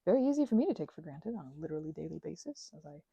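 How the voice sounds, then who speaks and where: A woman giving an unscripted ASMR-style craft ramble as host, halting and self-correcting in an earnest way, close to the mic. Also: low-pitched, very animated, vocal fry, mellow, husky, no echo, dull audio.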